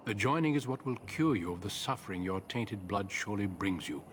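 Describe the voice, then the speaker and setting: An actor playing a seasoned, dutiful commander in a fantasy video game, speaking in a grave, formal, measured way. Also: male voice, lowish voice, lively intonation, slight background noise, natural voice. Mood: solemn, stern. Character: solemn, seasoned male Grey Warden commander, grave and dutiful. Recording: music behind the voice.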